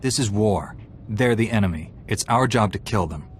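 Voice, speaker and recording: An actor playing a ruthless, self-righteous warrior knight in a video game, with a firm, commanding delivery. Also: male voice, lowish voice, lively intonation, normal speed, a little hiss or hum, natural voice. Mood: stern. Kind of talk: video game hero acting. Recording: game music under the voice.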